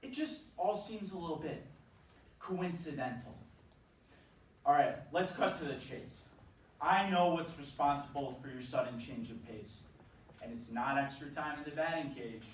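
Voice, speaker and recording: A man's voice: a little high, moderate pace, close to an everyday voice. A man acting a formally polite, accusing interrogator in a staged play reading. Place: theatre staged reading, recorded from off. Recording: echoey room, distant mic, background noise.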